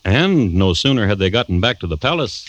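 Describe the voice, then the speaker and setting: An actor playing a wry storyteller, narrating a classic radio drama in scripted style. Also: male voice, on the low side, big pitch swings, a little fast, background noise. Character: wry, unhurried male storyteller of a dark tale. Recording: dull old radio recording. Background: music.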